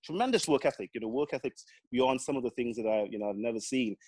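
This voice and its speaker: A man's voice: mid-range voice, fast, a little mellow, no room sound. A man from Grenada with a Caribbean accent, chatting earnestly as a guest, often restarting his words. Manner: earnest, with many repeated restarts. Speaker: guest, accountant. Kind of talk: casual interview chat.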